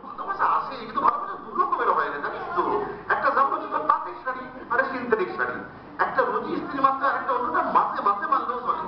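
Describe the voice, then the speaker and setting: A man's voice: very high-pitched, sing-song, rapid-fire, crowd noise. A man playing a quarrelsome, teasing poor woodcutter husband in a comic drama, with rustic, exclamatory speech. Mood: mocking. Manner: rustic, colloquial, exclamatory bickering. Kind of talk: comic Bengali stage-style drama acting. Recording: distant, echoey, dull, noisy hall recording.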